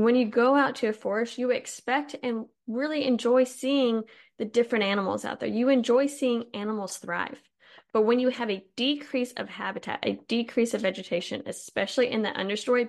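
A woman hosting a podcast, explaining land management in a chatty, informal way with her voice slightly hoarse. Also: on the high side. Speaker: podcast host. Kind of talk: casual educational talk on forestry.

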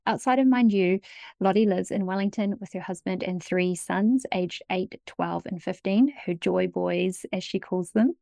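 A woman's voice: mid-range voice, moderate pace, vocal fry, mellow, no room sound. A woman hosting a podcast, reading a guest introduction and then chatting enthusiastically with her guest. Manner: enthusiastic, effusive praise. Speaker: podcast host. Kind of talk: warm podcast interview intro and chat.

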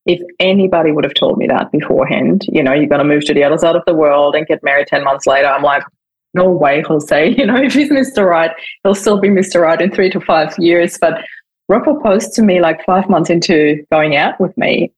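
Husky-voiced woman telling her story. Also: low-pitched, rough recording. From Austria. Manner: animated, laughs often, acts out quotes. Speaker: podcast guest. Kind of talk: conversational storytelling.

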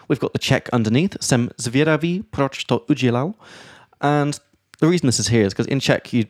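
Male podcast teacher, lively explainer, reads out examples, mid voice, dry close sound. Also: mid-range voice, a little fast, no room sound. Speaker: podcast host and English teacher. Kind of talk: explaining grammar in casual chat.

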